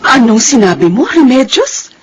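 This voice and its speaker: An actor playing an earnest, caring close confidant in a Filipino radio drama, speaking with grave urgency. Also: male voice, extremely high pitch, wildly swooping pitch, normal speed, loud music behind the voice, heavily acted. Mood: grave concern.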